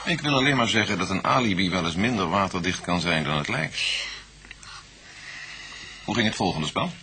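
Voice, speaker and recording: An actor playing a composed male police inspector in a radio drama, questioning in a measured way. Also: low-pitched, expressive, crowd noise. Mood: calm probing.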